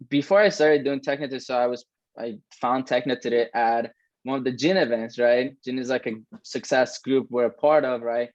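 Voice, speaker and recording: A man's voice: mid-range voice, no echo. Fields: man in his twenties, from Iran, casual swearing talker, dull dry recording. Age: 20s. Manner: loose, swearing, lots of "like" and "right?". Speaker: co-host. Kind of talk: casual chat.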